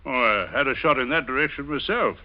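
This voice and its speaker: An actor playing a bluff, easily rattled English captain in an old radio suspense drama, stammering in upper-class British speech. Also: male voice, medium pitch, lively intonation, a little fast, natural voice. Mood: rueful. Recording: dull vintage radio recording.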